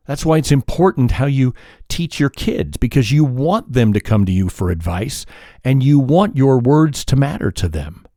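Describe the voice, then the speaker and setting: A man hosting a solo podcast, talking in a calm, measured, reflective way, sometimes pausing or correcting himself mid-sentence. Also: mid-range voice, no room sound, studio quality. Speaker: podcast host.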